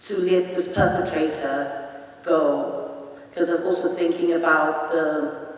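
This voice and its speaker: A woman's voice: sits low, fairly even intonation, unhurried, faint music behind. A woman in her forties speaks earnestly and emphatically, telling her own story to a meeting. Her voice rings around a large, echoing hall. She sounds set back from the microphone. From South Africa. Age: 40s. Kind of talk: personal testimony. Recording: echoey hall, voice from far off.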